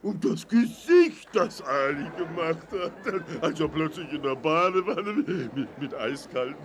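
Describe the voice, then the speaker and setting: Ein Schauspieler spricht einen gutmütigen, fröhlichen Bären in einem Kinderhörspiel, gedehnt, aufgeregt und leicht stammelnd. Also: male voice, on the high side, expressive, unhurried, background noise. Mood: amused. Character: jolly, slow, good-natured talking bear. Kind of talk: children's audio drama.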